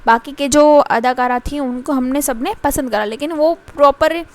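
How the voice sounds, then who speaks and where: High-voiced Indian woman lecturing haltingly. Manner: halting, frequent filler pauses and restarts; slow, careful reading of English passages. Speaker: teacher. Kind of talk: mixed-language lecture reading from slides.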